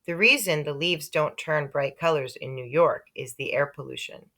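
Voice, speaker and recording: A woman reading a children's story aloud in an expressive, animated way. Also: deep voice, moderate pace. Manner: animated, with character voices for dialogue. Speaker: audiobook-style reader.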